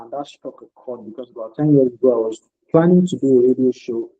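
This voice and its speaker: A man's voice: mid-range voice, slightly rough, phone-quality sound. A man co-hosting a podcast, speaking reflectively and personally about music. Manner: reflective, personal, soft-spoken. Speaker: podcast co-host. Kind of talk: casual podcast discussion.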